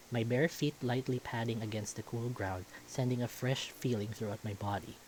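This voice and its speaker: A man's voice: lowish voice, darkish tone, poor audio. Man narrating a story over faint noise. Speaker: visual novel reader. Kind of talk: first-person story narration.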